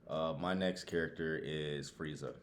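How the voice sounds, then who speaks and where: A man's voice: extremely low pitch, steady tone, unhurried. A man talking casually and emphatically as a podcast co-host, using plenty of slang and some swearing. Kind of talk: casual chat ranking favourites.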